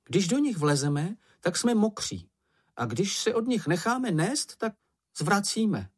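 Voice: mid-range voice, very animated, husky, voice pushed up. A narrator voicing a small, timid male animal who frets and complains in a frightened, whiny manner. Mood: gloomy. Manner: fretful, anxious, complaining.

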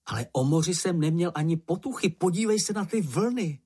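A narrator voicing a good-natured young male troll child, curious and calm, in a matter-of-fact manner. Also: medium pitch, breathy edge, voice pushed up. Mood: delighted.

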